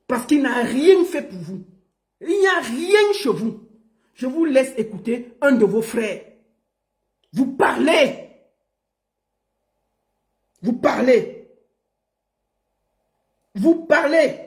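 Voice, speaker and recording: A man speaking as a political commentator, pleading and repeating himself, and rising to shouts. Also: very high-pitched, very animated, very slow, long pauses. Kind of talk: impassioned political monologue. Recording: roomy echo.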